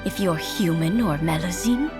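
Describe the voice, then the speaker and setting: A woman voicing a tender old lady in a game, speaking slowly and deliberately, like a grateful friend. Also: fairly low pitch, natural voice. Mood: tender, moved. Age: older. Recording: game dialogue under loud music.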